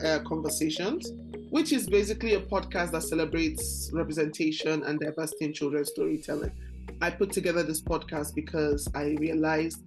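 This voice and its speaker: Nigerian woman hosting a video-call podcast. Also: deep voice. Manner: enthusiastic, repeats words while searching for phrasing. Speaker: podcast host. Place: room with bookshelves and red sofa.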